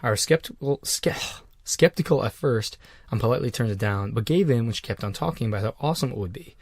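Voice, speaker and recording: A man's voice: mid-range voice, animated, fast talker, darkish tone, no room sound, studio quality. A man co-hosting a podcast, chatting loosely with restarts and swearing. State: on cold medication. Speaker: podcast co-host. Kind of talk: casual chat.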